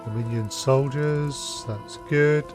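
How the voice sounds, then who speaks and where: British male streamer, medium pitch, casual short remarks, clear music bed. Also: slow pace. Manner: thinking aloud in short remarks. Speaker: game streamer. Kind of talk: casual live gameplay commentary. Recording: game music behind the voice.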